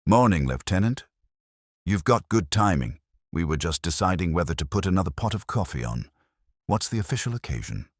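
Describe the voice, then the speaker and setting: A man acts out a wisecracking private eye in a mystery tale. The voice is low and animated, flippant and sardonic, recorded dry. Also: fairly quick, no echo. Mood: breezy, defiant. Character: wisecracking male private eye's assistant, cocky and breezy.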